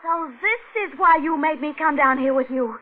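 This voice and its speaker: A woman's voice: sits high, normal speed, very noisy, natural voice. A woman playing an anxious, pleading wife in an old-time radio melodrama. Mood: terrified, pleading. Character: anxious, frightened young wife of a killer. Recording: tinny vintage radio, music behind.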